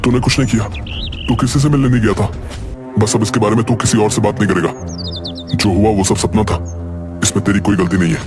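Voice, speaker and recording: Man playing a young guy calming his buddy down, firm and scolding, cartoon. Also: fairly low pitch, a little fast, pauses often, close to an everyday voice. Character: bossy, protective young male best friend. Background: background music.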